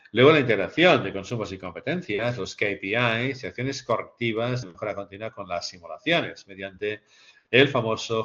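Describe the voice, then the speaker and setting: A man with a fairly low voice presents like a lecturer explaining slides. He repeats key phrases for emphasis and poses questions that he answers himself. The sound is somewhat dull and lo-fi. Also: fairly bright tone. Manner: emphatic repetition, rhetorical questions. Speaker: lecturer presenting a business case to master's students.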